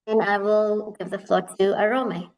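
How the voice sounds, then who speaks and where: A woman from Kenya, a founder speaking in a measured, thoughtful way on a panel. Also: medium pitch, level tone, darkish tone, low-quality recording. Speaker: founder of a women-run craft enterprise. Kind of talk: panel discussion.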